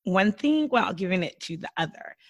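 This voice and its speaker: Hoarse young woman with gravelly voice. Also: medium pitch, lively intonation, fairly quick. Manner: chatty, rambling storyteller. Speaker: podcast co-host. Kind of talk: casual chat.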